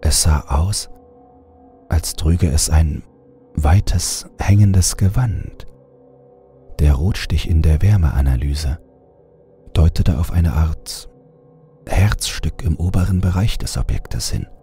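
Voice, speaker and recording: A man reading a scripted horror story as narrator, measured and suspenseful. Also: a bass voice, mellow. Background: music.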